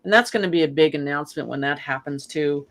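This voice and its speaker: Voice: medium pitch, quick pace, fairly bright tone. A guest speaking earnestly in a casual chat, rambling with many fillers and restarts.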